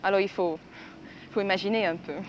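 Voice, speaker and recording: A woman's voice: medium pitch, fairly even intonation, fairly quick, muffled recording, slight background noise. A woman student from France, answering questions thoughtfully and often restarting her phrases. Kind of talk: archival radio interview answers.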